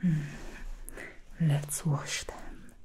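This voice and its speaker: A woman in an ASMR roleplay voicing a teasing female demon hairstylist, speaking in a soft, intimate whisper straight to the listener. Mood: seductive whisper. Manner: slow, intimate, addresses listener directly.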